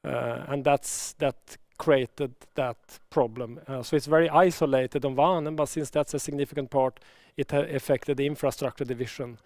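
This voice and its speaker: A man speaking as a company executive, answering analysts in a measured, halting way and often repeating words. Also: mid-range voice, fairly even intonation, a little mellow, no echo, studio quality. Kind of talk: earnings call answers.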